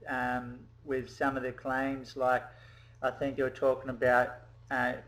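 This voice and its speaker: A man's voice: medium pitch, completely flat delivery, a little slow, background noise. A man in a casual discussion who asks a question hesitantly, with many ums.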